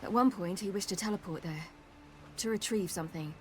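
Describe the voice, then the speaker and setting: A woman's voice: medium pitch, a little fast, darkish tone, a little hiss or hum, lightly acted. Young warrior girl in a fantasy game woman acting, mid voice, earnest n uneasy, bit of outdoor ambience. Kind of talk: video game cutscene dialogue.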